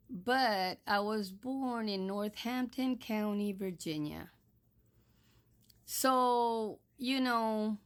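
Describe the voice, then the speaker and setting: Slow, firm older woman from Texas. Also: on the high side, a bit bright. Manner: firm, admonishing, deliberate. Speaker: social media creator. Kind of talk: direct-to-camera monologue.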